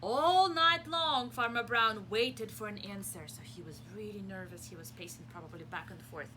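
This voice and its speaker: A woman reading a picture book aloud to young children as a preschool teacher, expressively, pausing to explain simply and ask questions. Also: medium pitch, noisy background. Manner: expressive, asks questions and pauses for answers.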